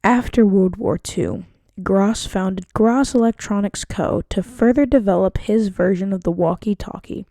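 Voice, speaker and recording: Mellow woman reading a scripted narration. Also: mid-range voice, measured pace, no room sound, studio quality. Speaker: narrator of a student history project. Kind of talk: scripted narration read aloud.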